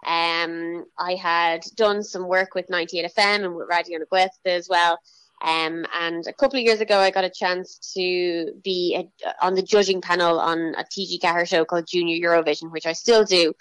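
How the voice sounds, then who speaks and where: A young Irish woman, a children's TV presenter, talks through a radio interview. She is chatty and keeps pausing on fillers and restarting her sentences. Her voice sits low and sounds dry and close. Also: fairly even intonation, a bit bright, clean tone, no echo. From Ireland.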